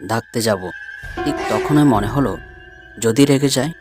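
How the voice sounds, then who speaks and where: Casting: male storyteller, middle-pitched voice, scripted spooky-tale narration, clear music bed underneath. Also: a little slow. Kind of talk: scripted first-person ghost story narration.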